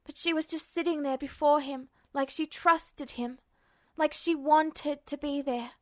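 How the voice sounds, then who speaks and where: Woman narrator, high voice, dry close recording, emotive measured audiobook reading. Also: steady tone, a little mellow, no echo. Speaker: podfic reader. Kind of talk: audiobook narration of fan fiction.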